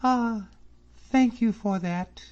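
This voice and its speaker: A man reading an audiobook, voicing a proud, sharp-tongued young Scottish woman in a high put-on voice. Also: drawn-out words, heavily acted. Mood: eager. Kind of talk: audiobook character voice. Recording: slightly muffled recording.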